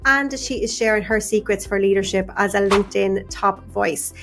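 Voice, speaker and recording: A woman's voice: medium pitch, moderate pace, creaky, a bit bright, faint music behind. Host, female, effusive and upbeat, interviewing a guest on a studio mic. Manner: bubbly, enthusiastic, laughs often. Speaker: podcast host. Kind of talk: conversational podcast interview. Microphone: studio microphone.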